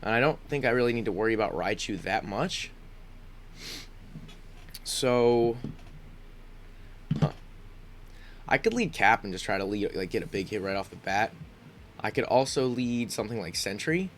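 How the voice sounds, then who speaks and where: A man's voice: on the low side, normal speed, long pauses, a little hiss or hum. A congested man giving casual commentary on his own game, thinking aloud with frequent ums and restarts. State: congested, sniffling. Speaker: gaming YouTuber.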